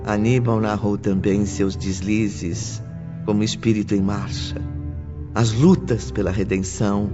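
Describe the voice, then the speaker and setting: A man giving solemn, measured scripted narration for an audio drama. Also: fairly low pitch, little pitch movement. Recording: dull muffled audio under clear music.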